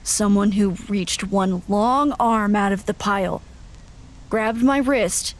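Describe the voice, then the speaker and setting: A woman playing an uneasy young wanderer, narrating in the first person for an audio drama, plain and halting. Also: medium pitch, lightly acted. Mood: wary, recounting.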